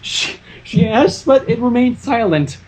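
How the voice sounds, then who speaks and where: A reader narrating comic fan fiction with a husky voice, animated and stumbling, with nervous asides. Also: mid-range voice, unhurried, heavy background noise.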